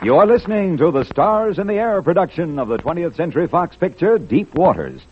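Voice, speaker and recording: A man's voice: a little high, sing-song, a little fast, over loud music. A man announcing a 1940s radio programme from a script, with a formal, polished delivery. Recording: dull vintage radio broadcast recording.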